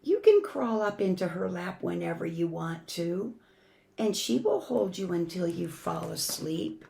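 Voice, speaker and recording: A woman reading a children's picture book aloud in an expressive, deliberate way, as a church storyteller. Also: lowish voice, gravelly. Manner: expressive, deliberate, shifting between emphatic and hushed. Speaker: church family ministry storyteller.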